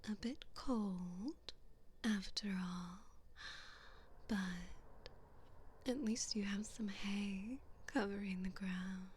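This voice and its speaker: A woman playing a cruel, haughty evil queen in a whispered ASMR roleplay, taunting and condescending in a breathy voice. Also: mid-range voice, fairly even intonation, extremely slow, long pauses, a little mellow, a little hiss or hum.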